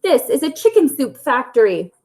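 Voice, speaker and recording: A woman's voice: high voice, big pitch swings, moderate pace, darkish tone. A woman voicing a plucky, bossy, anxious young hen with an urgent, squawking delivery in a children's storybook. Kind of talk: children's storybook read-aloud.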